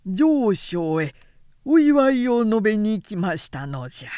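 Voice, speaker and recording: Storybook centenarian peasant, played by a woman: male, deferential, humble, slow measured telling. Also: mid-range voice, big pitch swings, warm tone, clean tone, no echo, studio quality. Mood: humble reverence. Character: humble, respectful centenarian male villager telling old tales. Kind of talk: audiobook character reading.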